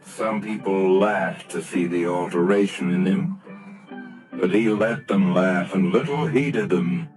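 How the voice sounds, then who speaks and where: A man narrating the close of a classic story in a measured storybook manner on an old radio broadcast. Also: sits low, over music, poor audio. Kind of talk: scripted narration.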